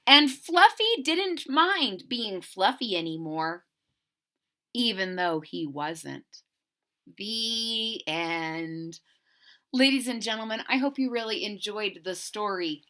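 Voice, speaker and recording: A woman reading a children's storybook aloud with expressive, dramatic emphasis and chatty asides to young listeners. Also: medium pitch.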